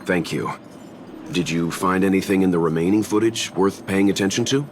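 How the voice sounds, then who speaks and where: Man playing a low-voiced, composed investigator. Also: moderate pace, slight background noise, lightly acted. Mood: inquiring. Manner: composed, matter-of-fact. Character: composed, analytical male investigator, calm and courteous. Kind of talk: video game voice acting.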